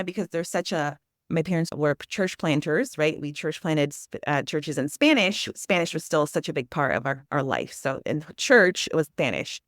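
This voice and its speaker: Low-voiced woman, portuguese first language, rambly animated storytelling, dry close mic. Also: dry recording. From Brazil, Amazon region. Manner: rambling, animated, many restarts and "like". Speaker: podcast guest. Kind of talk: casual interview storytelling.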